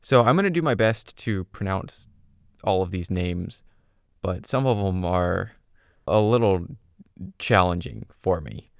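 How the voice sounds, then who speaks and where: A man's voice: deep voice, lively intonation, unhurried, gravelly, dry recording, clean studio sound. A man hosting a podcast, reading a scripted story with a dramatic, suspenseful delivery. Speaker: podcast host.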